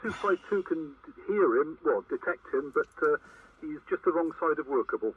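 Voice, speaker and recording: A man relaying calls casually over CB radio in a halting, hesitant way. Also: fairly high pitch, animated. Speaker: CB radio operator. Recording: tinny, very noisy CB radio transmission.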